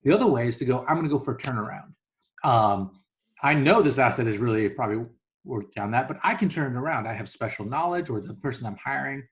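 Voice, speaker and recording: A man's voice: mid-range voice, expressive, quick pace. A man speaking as an investor guest in an interview, explaining things casually and acting out hypothetical thoughts as examples. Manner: conversational, illustrates points with hypothetical quoted thoughts.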